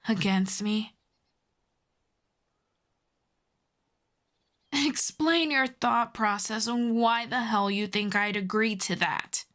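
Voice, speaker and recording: Female villain played by a woman, telepath, intimate audio drama. Unhurried, dry, articulate, commanding with grudging tenderness, mid-pitched, slipping toward half-whispers. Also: fairly bright tone, lightly acted. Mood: incredulous, dry. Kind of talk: roleplay ASMR audio drama, close and intimate.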